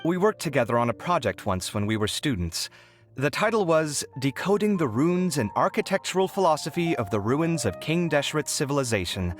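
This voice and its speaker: Animated, earnest young architect. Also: male voice, fairly high pitch, fairly quick, soft background music. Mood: reflective. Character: earnest, expressive young male architect, good-natured but easily carried away. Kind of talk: video game voice acting.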